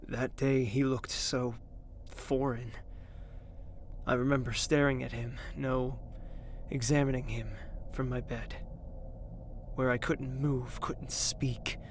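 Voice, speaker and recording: An actor playing a weary, proud young prince in an audio drama, in both dialogue and introspective narration. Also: male voice, mid-range voice, flat delivery, slow pace, a little hiss or hum. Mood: reflective.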